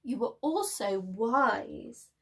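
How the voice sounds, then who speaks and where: Father lion, picture-book tale, done by a woman reader: gentle, wise, reassuring, slow delivery. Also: on the high side, lively intonation, vocal fry, breathy edge, heavily acted.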